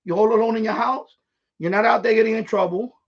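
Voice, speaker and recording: A man with a husky voice, telling stories in a blunt, repetitive, sweary way on a casual live stream. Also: high-pitched, fast. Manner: blunt, profane, repeats himself, animated. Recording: dull, lo-fi livestream audio.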